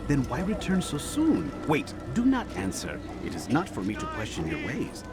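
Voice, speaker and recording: An actor playing a wry bureau chief of an assassin brotherhood in a video game, theatrical and teasing under mock deference. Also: male voice, a little high, huge pitch swings, normal speed, gravelly, over loud music, full character voice. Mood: mock concern.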